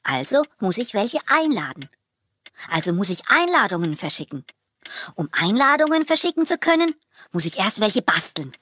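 An actress playing a scatterbrained, excitable little mouse boy in a children's audio play, talking in a muddled, exclaiming way. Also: medium pitch, normal speed, dry recording. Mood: musing.

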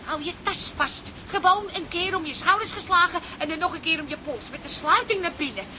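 A flustered, exasperated woman in a radio play. Her high voice swoops dramatically, over clear background noise. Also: expressive, a little fast. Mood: hurried.

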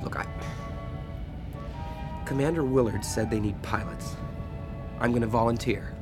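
An actor with an American accent playing an idealistic young would-be pilot, earnest and pleading, in a space-opera radio drama. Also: male voice, medium pitch, unhurried, music in the background, close to an everyday voice. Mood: urgent, pleading. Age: young adult.

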